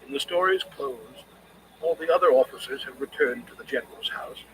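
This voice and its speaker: A man reading scripted literary criticism as an audiobook narrator, in a measured, expressive way. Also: medium pitch, a little hiss or hum, poor audio.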